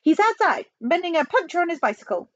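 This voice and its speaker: A woman's voice: very high voice, big pitch swings, fast talker, fairly bright tone, no room sound, dull audio, pitched above the performer's own voice, heavily acted. A woman voicing a polite male Chinese official with a Chinese accent and broken phrasing, in a storybook reading. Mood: polite, apologetic.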